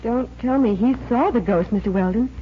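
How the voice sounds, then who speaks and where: A woman's voice: on the high side, moderate pace, lightly acted. An actress in a 1940s radio mystery playing a magician's plucky, playful young female assistant with a perky, wry manner. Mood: curious, wry. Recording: dull, noisy 1940s radio recording.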